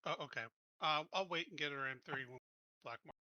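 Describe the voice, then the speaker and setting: A man playing in a tabletop game, talking casually and haltingly, often trailing off. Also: fairly high pitch, bright, forceful tone, no echo, highs cut off. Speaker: player.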